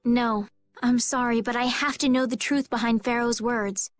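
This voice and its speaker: High-voiced gentle princess played by a woman. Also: fairly even intonation, moderate pace, no echo, close to an everyday voice. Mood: earnest, polite. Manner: polite, sincere, hesitant. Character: polite, gentle, determined young princess. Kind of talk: animated RPG video game dub. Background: faint background music.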